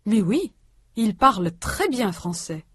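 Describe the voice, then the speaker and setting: A woman reading a language course aloud, with slow, careful and precise enunciation. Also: mid-range voice, very animated, fairly bright tone, slightly rough, no room sound. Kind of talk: scripted instructional reading.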